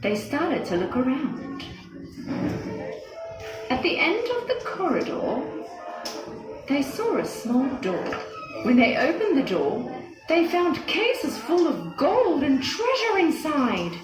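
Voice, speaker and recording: A woman narrating a scripted fairy tale for children in an expressive, dramatic storytelling manner. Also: sits high, slow pace, long pauses. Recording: echoey, music under the voice.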